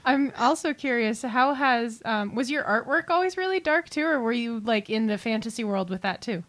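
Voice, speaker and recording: A woman's voice: on the high side, lively intonation, normal speed, a bit bright. A woman co-hosting a podcast interview, talking casually and encouragingly with a guest. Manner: informal, encouraging.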